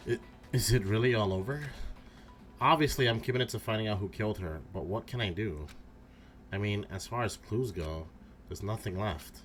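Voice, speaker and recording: A man's voice: fairly low pitch, normal speed, soft background music, lightly acted. A man voicing an anxious, earnest, easily dejected teenage boy in a fan dub of a visual novel game.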